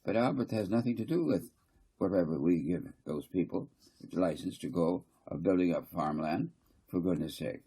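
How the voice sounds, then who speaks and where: A man reading a story, voicing a reasonable but defensive small-town mayor in a measured, questioning way. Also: low-pitched, expressive, warm tone, breathy edge, heavily acted.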